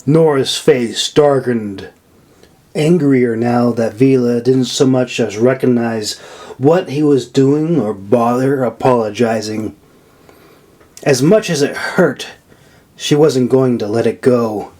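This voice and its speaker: A man's voice: mid-range voice, slow pace, mellow. A man narrating a fantasy audiobook from a script, as the author of the story. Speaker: author reading own audiobook.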